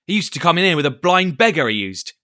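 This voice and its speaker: A man voicing a blustering, cunning old one-legged pirate cook who shouts in salty sailor talk. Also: fairly high pitch, big pitch swings, quick pace, dry recording, studio quality, voice pushed up. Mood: excited.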